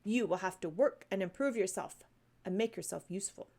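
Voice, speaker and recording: A woman's voice: mid-range voice, brisk, gravelly, warm tone. A woman voicing a cold, stern headmistress who speaks sharply to a child. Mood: severe. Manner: stern, cutting.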